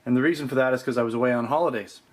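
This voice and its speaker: A man's voice: mid-range voice, rapid-fire. A man from Canada presenting a product test as a video reviewer, explaining each step in order. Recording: noisy video audio with background ambience.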